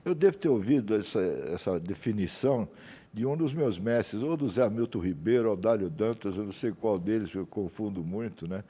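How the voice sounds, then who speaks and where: Brazilian man, 70s, storytelling guest, low and mellow, rambling delivery. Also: lively intonation, a little fast, breathy edge. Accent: Brazilian Portuguese. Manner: rambling, anecdotal, frequent tag questions. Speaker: journalist guest. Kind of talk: interview storytelling.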